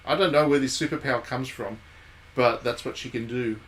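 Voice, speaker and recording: A man's voice: medium pitch, fairly quick, a bit bright, a little hiss or hum, poor audio. A man hosting a game stream, giving casual live commentary and thinking aloud in conversational asides.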